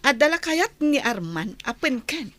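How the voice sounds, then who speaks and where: High-voiced, imperious mistress of the house acted by a woman. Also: very animated, measured pace, fairly bright tone, dry recording, full character voice. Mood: commanding. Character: indignant, commanding wealthy mistress of a mansion. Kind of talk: melodramatic audio drama acting.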